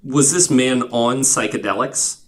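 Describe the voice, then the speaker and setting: A man's voice: mid-range voice, a little slow. A man hosting a podcast, telling stories in a casual, emphatic way with easy swearing. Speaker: podcast host. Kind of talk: casual storytelling chat. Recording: clearly noisy recording with clicks.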